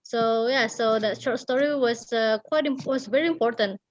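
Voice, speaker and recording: An Indonesian woman tells a story in a casual, halting way, searching for her words. Her voice sits a little high. The sound is dull and lo-fi, with very little room around it. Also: no echo. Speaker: writer and guest storyteller. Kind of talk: casual storytelling in an online talk.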